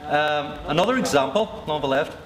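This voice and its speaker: A man's voice: a little high, animated, moderate pace. A man with a Northern Irish accent, a teacher giving a short, chatty, joking talk to an audience of teachers. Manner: chatty, joking, self-deprecating. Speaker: teacher presenting at a teacher meetup. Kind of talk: short live presentation to an audience. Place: large lecture hall with an audience. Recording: echoey hall from a distance, crowd noise.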